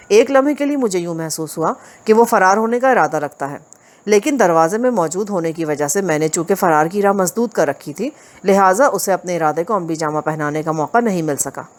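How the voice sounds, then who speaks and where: A woman narrating a first-person crime story for an audio novel, in a steady, measured reading voice. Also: lowish voice. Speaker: audiobook reader.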